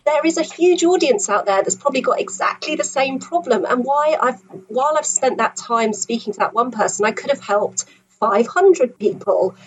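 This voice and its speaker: A woman's voice: fairly high pitch, expressive, low-quality recording. Thoughtful woman with a faint hum behind. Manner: thoughtful, reflective, pauses over her words. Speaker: podcast guest, conservator. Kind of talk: conversational podcast talk.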